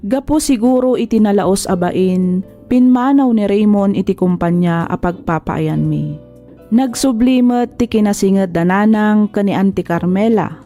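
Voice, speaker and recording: A woman's voice: mid-range voice, dark tone, close to an everyday voice. A woman acting as a young woman telling her life story in scripted Ilocano drama narration, with a Filipino Ilocano accent.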